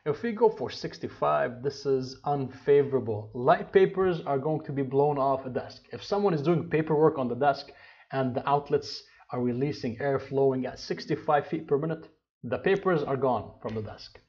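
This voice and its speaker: A man's voice: medium pitch, moderate pace, highs cut off. A man in his thirties teaching an engineering course over slides, explaining step by step with restarts and fillers. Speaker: HVAC instructor. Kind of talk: slide lecture.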